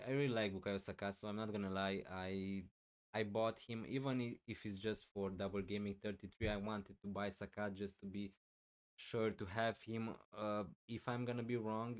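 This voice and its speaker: Low, loud-talking man streaming live. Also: smooth. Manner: loud, thinking aloud with many fillers. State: losing his voice, clearing throat. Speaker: fantasy football streamer. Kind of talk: live stream answering chat questions.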